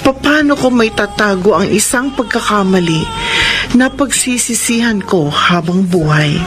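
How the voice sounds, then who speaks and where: A woman's voice: medium pitch, animated, unhurried, natural voice. A young woman playing a distraught, guilt-ridden expectant mother in an emotional Tagalog melodrama. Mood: anguished, confessing. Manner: emotional, pleading. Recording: music bed under the voice.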